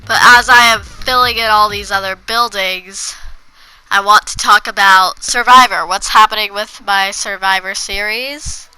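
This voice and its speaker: A woman's voice: mid-range voice, fairly even intonation, measured pace, bright, forceful tone, clean tone, no echo, poor audio. A woman with a cold, sounding congested, chatting casually over her gameplay and rambling with frequent restarts. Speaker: gaming video creator.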